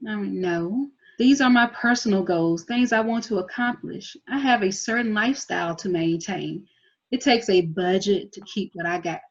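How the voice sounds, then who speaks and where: A woman's voice: medium pitch, normal speed, natural voice. An actress reading a proud, driven twenties woman, blunt, slangy and defensive, in a TV drama table read. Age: young adult. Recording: dull lo-fi recording.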